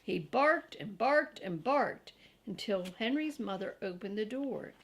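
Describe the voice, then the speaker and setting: An older woman reading a children's storybook aloud, deliberate and grandmotherly, with casual asides to young listeners. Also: medium pitch, lively intonation, a bit bright. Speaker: storybook reader.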